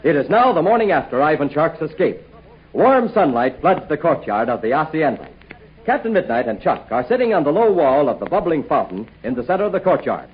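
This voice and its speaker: A male 1940s radio announcer with a high, husky voice. His delivery is hearty, persuasive and very animated, reading scripted pitches and narration. The recording is slightly muffled. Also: fairly quick. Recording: muffled 1940 radio broadcast.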